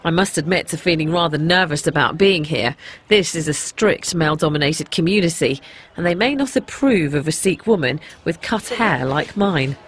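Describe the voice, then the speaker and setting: A woman's voice: low voice, normal speed, slight background noise. A woman with an English accent presenting a personal documentary, in narration and to camera. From England.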